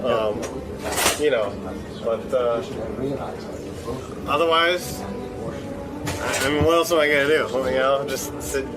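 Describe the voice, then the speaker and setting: A man giving casual, halting interview answers with a self-deprecating manner. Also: mid-range voice, music in the background.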